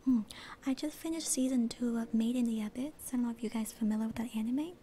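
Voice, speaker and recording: A young woman streamer with a slight accent, chatting with viewers in a soft, airy ASMR whisper, relaxed and rambling. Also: on the high side, fast, background noise. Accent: slight non-American accent. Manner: relaxed, rambling, reads chat aloud. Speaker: VTuber streamer.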